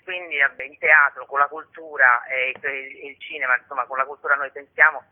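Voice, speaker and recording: A woman's voice: a bass voice, fairly even intonation, fairly quick. Female festival organiser, informal interview guest, heard down a narrow, muffled phone line. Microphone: telephone.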